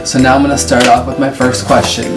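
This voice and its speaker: A man's voice: medium pitch, little pitch movement, quick pace. Man in his twenties answering viewer questions. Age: 20s. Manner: chatty, explains step by step. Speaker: vlogger and flight attendant. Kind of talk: casual Q&A vlog. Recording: distant mic with loud music behind. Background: music.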